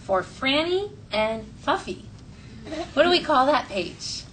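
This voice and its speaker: A woman's voice: sits high, very animated, slow pace, fairly bright tone. Woman teacher reading aloud, distant mic. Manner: clear instructional phrasing, prompting children. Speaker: elementary school teacher. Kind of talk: classroom read-aloud and questioning. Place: classroom. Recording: distant mic, faint classroom noise.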